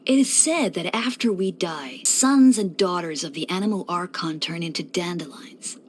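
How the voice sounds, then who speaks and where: A woman's voice: lowish voice, normal speed, slight background noise, close to an everyday voice. A woman voicing a playful young male church deacon in a video game, breezy and teasing with theatrical mock solemnity. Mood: lofty, reverent. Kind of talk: scripted video game character voice lines.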